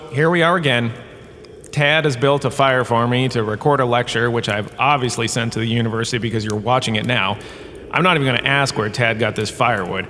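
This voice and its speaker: A man's voice: mid-range voice, pushed and bright, natural voice. Man playing a pompous professor in a reverberant cave. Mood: exasperated, flippant. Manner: dramatic, self-amused, breezily dismissive. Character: pompous, washed-up holodrama star turned cowardly, flippant professor. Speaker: lecturer in a fictional audio drama. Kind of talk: comic theatrical lecture narration. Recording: long cave reverb, background ambience noise.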